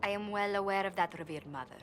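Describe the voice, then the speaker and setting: An actress playing a composed, diplomatic noblewoman ambassador in a video game, speaking politely and formally, with measured firmness. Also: mid-range voice, a bit bright, a little hiss or hum, close to an everyday voice. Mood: politely firm. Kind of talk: video game cutscene acting.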